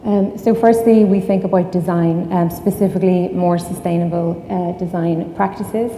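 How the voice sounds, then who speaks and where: Irish woman giving a talk, lots of ums, dark mellow voice, roomy hall echo. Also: fairly low pitch, moderate pace. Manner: many ums, steady explaining. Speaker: conference speaker. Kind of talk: conference talk. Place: conference hall. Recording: echoey hall, distant, faint noise.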